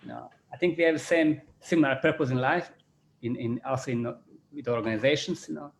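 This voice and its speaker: Croatian-accented man, halting and repetitive. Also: mid-range voice, gravelly. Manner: halting, repeats words, many "you know" fillers. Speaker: business school chairman. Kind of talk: closing remarks at an online panel.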